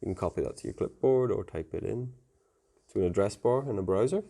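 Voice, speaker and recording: A man's voice: lowish voice, lively intonation, a little fast, gravelly, mellow. A man giving a casual technical tutorial in an informal, plain-spoken, conversational way. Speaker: technical presenter explaining network setup.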